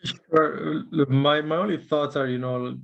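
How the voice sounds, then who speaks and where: A man's voice: a little high, steady tone, unhurried, gravelly, dull audio. A man giving closing remarks as a guest presenter on a webinar, thoughtful and hesitant with fillers. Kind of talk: casual remarks.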